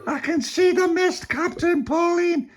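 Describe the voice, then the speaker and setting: Tiny squealy baby girl, put on by a storyteller, super high, excitable, wailing, storybook. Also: quick pace, noisy background. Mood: excited. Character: excitable squealing baby girl aboard a storm-tossed ship.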